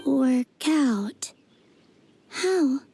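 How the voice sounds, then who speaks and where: An actress playing a gentle, wistful young woman who speaks sincerely and in measured phrases in a video game dub. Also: sits high, animated, gravelly, noisy background, full character voice. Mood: trusting.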